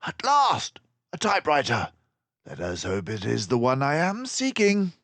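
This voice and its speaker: A man's voice: medium pitch, wildly swooping pitch, a little slow, fairly bright tone, no room sound, pitched above the performer's own voice, full character voice. A man voicing a clever, confident mouse detective from a storybook, with an emphatic, precise, lecturing manner. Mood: triumphant. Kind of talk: storybook character voice.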